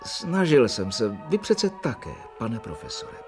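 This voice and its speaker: An actor playing a devoted young doctor in a radio play, speaking earnestly and respectfully. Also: male voice, medium pitch, very animated, music in the background, natural voice. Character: devoted young male doctor, the assistant and narrator. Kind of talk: 1990 radio play acting.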